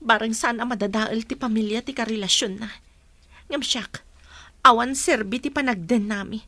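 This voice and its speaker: A woman's voice: a little high, moderate pace, sharp tone, no room sound. A woman playing a hurt, resigned estranged wife in a radio drama, with a northern Filipino accent.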